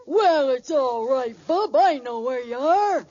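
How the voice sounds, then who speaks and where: An actress playing a dopey, easygoing desert nomad with slangy comic delivery in an old radio comedy. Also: female voice, sits high. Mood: dopey, cheerful. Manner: slow, dim-witted comic deadpan, slangy. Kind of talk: 1940s radio comedy acting. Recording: noisy, dull old radio recording.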